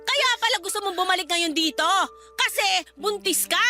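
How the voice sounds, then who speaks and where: A woman's voice: extremely high pitch, animated, normal speed, sharp tone, soft background music, full character voice. A woman playing a harsh, scornful mother who scolds and accuses in a Filipino radio drama. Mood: furious, rejecting. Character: harsh, scornful, money-minded mother berating her grown daughter.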